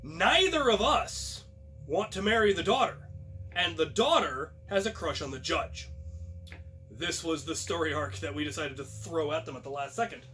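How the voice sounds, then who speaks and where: A man telling a personal anecdote casually, in an animated storyteller's way. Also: on the high side, moderate pace, soft background music, poor audio. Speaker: storyteller, host of a story series.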